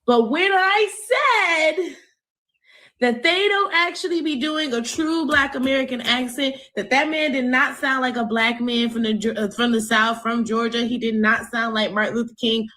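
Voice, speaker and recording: A woman's voice: fairly high pitch, moderate pace, bright, forceful tone. A woman commentator giving an indignant, emphatic opinion with sarcastic jabs. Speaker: online commentator.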